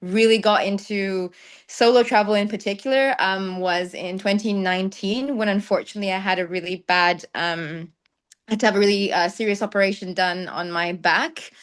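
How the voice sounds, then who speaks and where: A woman's voice: medium pitch, steady tone, normal speed, clean tone. A young woman who grew up in the Netherlands, a travel content creator answering interview questions thoughtfully, with many ums and light laughs. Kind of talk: conversational interview.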